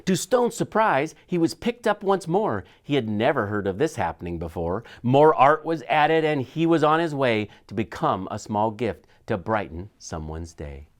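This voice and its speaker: A man's voice: medium pitch, very animated, moderate pace, few pauses. A man reading a children's picture book aloud expressively, with dramatic shifts in his storytelling. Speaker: school superintendent reading aloud.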